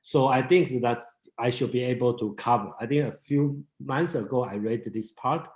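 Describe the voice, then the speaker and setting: A man whose first language is Mandarin Chinese, leading a philosophy reading group in halting English with many restarts. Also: mid-range voice, a little mellow, reverberant. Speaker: discussion group leader. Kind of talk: casual seminar talk.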